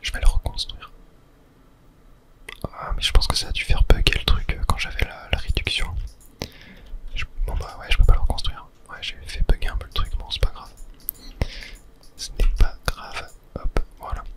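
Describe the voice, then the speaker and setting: Asmr gamer whispering the whole time, breathy, casually muttering along while playing. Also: moderate pace, long pauses. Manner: murmured casual thinking aloud. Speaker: video game streamer narrating play. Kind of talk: whispered ASMR gameplay commentary.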